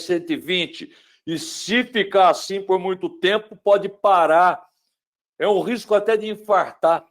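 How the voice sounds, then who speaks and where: Dramatic Brazilian man lecturing. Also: high-pitched. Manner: dramatic, swings between loud emphasis and hushed tones; asks the listener rhetorical questions. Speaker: speaker on mental wellbeing. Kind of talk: webinar lecture.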